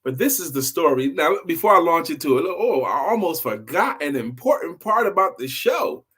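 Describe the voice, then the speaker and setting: A man's voice: fairly high pitch, big pitch swings, moderate pace, a bit bright, slightly rough. A man hosting an informal story time for adults, telling his tale conversationally and repeating phrases for emphasis. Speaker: host, storyteller.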